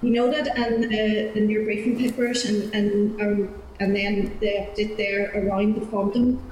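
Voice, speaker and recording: A woman's voice: mid-range voice, little pitch movement, measured pace, a little mellow. A woman with a Northern Irish accent, deputy chairperson earnestly putting questions to a committee hearing. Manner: earnest, repeats words while searching. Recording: echoey room with faint noise.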